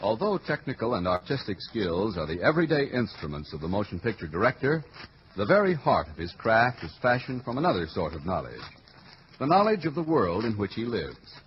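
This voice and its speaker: Muffled vintage American radio announcer, very animated man. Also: lowish voice, slight background noise. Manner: formal, dramatic, grandly measured.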